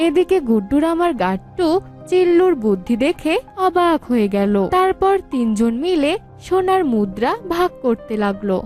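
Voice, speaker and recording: A woman's voice: high voice, sing-song, moderate pace, darkish tone. A woman reading scripted narration for a cartoon moral story. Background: background music.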